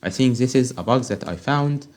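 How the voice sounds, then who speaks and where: A man whose first language is Arabic talks a viewer through a software testing quiz and project. He goes step by step, stops to check with "okay?", and keeps an everyday middle pitch. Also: expressive, moderate pace. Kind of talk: screen-recorded tutorial walkthrough.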